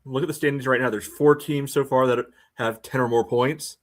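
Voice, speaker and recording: A man's voice: mid-range voice, steady tone, normal speed. A man with an American accent co-hosting a sports podcast in casual chat, with a dry, understated way of talking.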